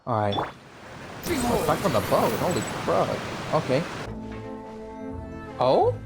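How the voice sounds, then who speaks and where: A man doing casual, slangy let's play commentary, reacting aloud in an excitable way. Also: on the high side, slow pace, vocal fry, loud music behind the voice. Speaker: gaming video host. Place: home, near a barking dog.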